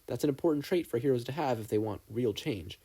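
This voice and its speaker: A man voicing a stern but proud teacher in a fan fiction story, lecturing in a measured, stoic way. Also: medium pitch, warm tone, slightly rough. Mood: quietly proud.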